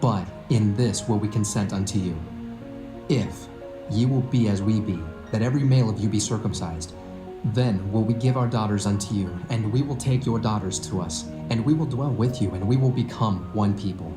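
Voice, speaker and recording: Man acting low-voiced stern ancient brother. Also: moderate pace, natural voice. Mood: firm, guarded. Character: stern ancient herdsman brother, firm and guarded. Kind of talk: dramatised scripture acting. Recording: roomy echo, music behind.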